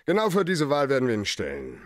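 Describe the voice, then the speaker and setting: An actor playing a cool, blunt, hard-boiled private detective who bargains sardonically in a German-style radio crime play. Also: male voice, mid-range voice, huge pitch swings, fast, no echo, studio quality. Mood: persuasive. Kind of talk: radio crime drama acting.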